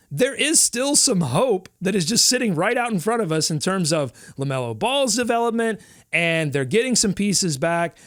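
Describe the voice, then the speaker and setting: A man's voice: high-pitched, no echo, studio quality. A male sports podcast host talking in a loose, rambling way, playfully dramatic about his team's woes. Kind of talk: casual sports talk.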